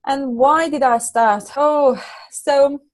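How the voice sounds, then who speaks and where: A middle-aged woman from Hungary with a Hungarian accent, talking earnestly as a guest business coach, halting and restarting often. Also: sits high. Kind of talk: casual interview chat.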